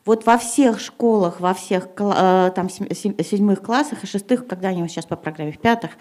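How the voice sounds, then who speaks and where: A woman's voice: medium pitch, normal speed, a little mellow. A middle-aged woman teacher speaking at a panel discussion before an audience, hesitating with many fillers and then pressing her points with emphasis. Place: gymnasium hall in front of an audience.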